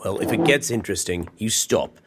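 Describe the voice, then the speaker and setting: An actor playing a fussy, wry British office worker in a naturalistic audio drama, dry and complaining. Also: male voice, lowish voice, animated, a little fast, noisy background, close to an everyday voice. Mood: exasperated, wry.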